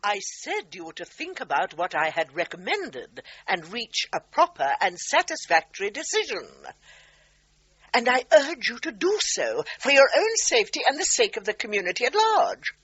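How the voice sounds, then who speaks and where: An imperious older lady dog trainer, speaks with grand, formal pomposity and swooping, animated intonation. She presses her point relentlessly in a gently comic radio play, on a slightly dull recording. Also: a little high, moderate pace. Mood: stern, pressing. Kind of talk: radio comedy drama acting.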